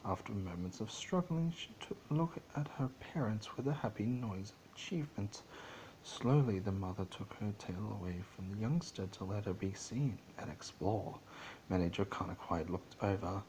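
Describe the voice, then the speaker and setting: Male amateur story narrator, lowish voice, theatrical and a little halting, faintly noisy home recording. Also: animated, a little mellow. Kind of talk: dramatic storybook narration of fan fiction.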